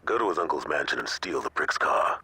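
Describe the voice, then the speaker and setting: An actor playing a tough, streetwise gang lieutenant in a video game, blunt, slangy and commanding. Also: male voice, very deep voice, animated, brisk, sharp tone, husky, no echo, heavily acted. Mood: brisk, commanding. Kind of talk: video game cutscene acting.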